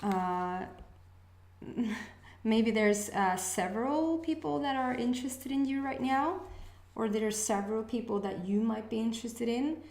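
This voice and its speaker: A Swedish-accented woman, Swedish by birth, gives a relaxed intuitive reading for her channel. Her speech is hesitant and musing, with pauses as she tunes in. The room around her is echoey. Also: fairly high pitch, gravelly. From Sweden. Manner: hesitant, musing, pausing to sense messages. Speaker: astrology reader, channel host. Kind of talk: casual intuitive reading to camera.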